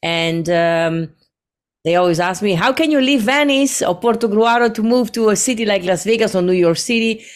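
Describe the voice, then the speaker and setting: A woman from Italy with an Italian accent, a singer-songwriter talking as a guest in a casual, animated interview. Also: mid-range voice, normal speed. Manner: animated, rambling, laughs easily.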